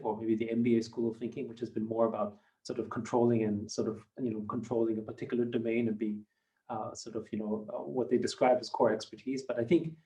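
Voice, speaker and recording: A man in his fifties, a German native speaker who grew up in Austria, answering interview questions in a measured, reflective way with many hedging fillers. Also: medium pitch, mellow, low-quality recording. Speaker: company founder and guest. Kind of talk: podcast interview.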